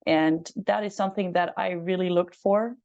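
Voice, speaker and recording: A woman's voice: lowish voice, fairly even intonation, normal speed, creaky, darkish tone. A woman from Denmark, a master's student, talking fluently and friendly about her studies. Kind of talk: casual webinar talk. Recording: dull lo-fi call audio.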